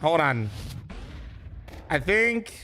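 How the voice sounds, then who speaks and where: Hoarse excitable streamer guy. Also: a little high, drawn-out words, a little hiss or hum. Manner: excitable, yelling, swearing, laughing. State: hoarse from yelling. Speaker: streamer hosting a watch party. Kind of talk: excited live reaction chat.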